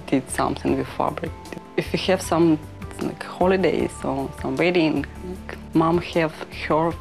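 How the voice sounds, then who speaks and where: A young woman from Ukraine with a Ukrainian accent, a fashion designer talking in halting English full of "like" fillers. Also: low voice, creaky, darkish tone. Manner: halting non-native English with many "like" fillers. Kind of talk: interview talk.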